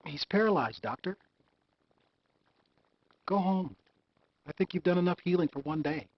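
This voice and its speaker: A stern hospital boss, judging a junior doctor's work. His voice is a little high for a man. The audio is slightly dull game-video sound. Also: lively intonation, normal speed, slightly rough, dry recording. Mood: stern, disappointed. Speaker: hospital supervisor. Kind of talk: live-action game acting.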